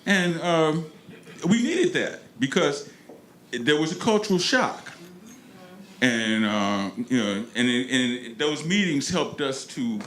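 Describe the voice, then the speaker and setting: An older man from St. Louis, speaking on an alumni panel with many pauses and restarts. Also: mid-range voice, big pitch swings, a bit bright, a little hiss or hum. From North St. Louis, Missouri. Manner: halting, many ums and restarts. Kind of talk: reminiscing on a panel. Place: large room at a panel event. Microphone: handheld microphone.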